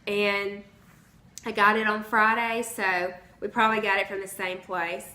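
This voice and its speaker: A hoarse woman, chatting casually to her vlog audience from home. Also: mid-range voice, little pitch movement, measured pace, pushed and bright. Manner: chatty, conversational. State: hoarse from the flu. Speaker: vlogger. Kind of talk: casual chat to camera. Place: at home, indoors. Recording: roomy echo.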